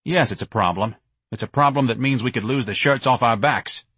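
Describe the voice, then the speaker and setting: A man's voice: medium pitch, fairly quick, fairly bright tone, slightly rough, no room sound. A man voicing an irritable, exasperated male business owner who is curt and demanding. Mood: irritated.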